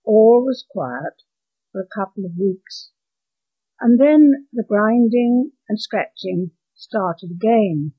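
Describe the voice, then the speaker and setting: Husky, slow English woman in her nineties. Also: mid-range voice, lively intonation. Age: 90s. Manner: measured, deliberate phrasing. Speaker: author reading own story. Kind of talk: scripted storytelling, read aloud. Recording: dull lo-fi home recording.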